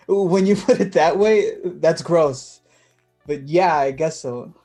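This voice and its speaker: Man acting awkward, soft-toned, nervous young guy. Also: a little high, faint music behind. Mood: shy, amused. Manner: halting, fumbling, self-conscious. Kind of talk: staged play reading.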